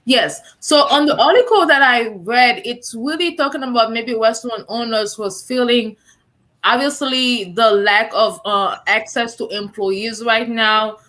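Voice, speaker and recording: A woman hosting a live online talk show, chatty and relaxed, with many fillers. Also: a little high, a little slow. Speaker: host.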